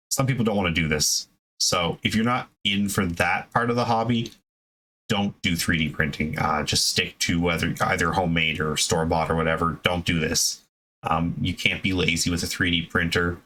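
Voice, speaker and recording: Man, deep voice, hobby video host, casual off-the-cuff explainer, conversational, halting delivery. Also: fairly even intonation, pushed and bright.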